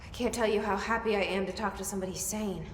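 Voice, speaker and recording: An actress playing a guarded young agency director in a video game, speaking in a terse, reserved, plain way. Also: female voice, medium pitch, fairly even intonation, fast, natural voice. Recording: echoey hall with faint noise.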